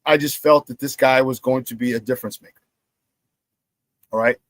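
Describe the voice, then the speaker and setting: A hoarse middle-aged man hosting a sports talk show, telling animated stories, repeating his phrases and laughing at his own stories. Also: medium pitch, moderate pace, no room sound. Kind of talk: casual sports talk monologue.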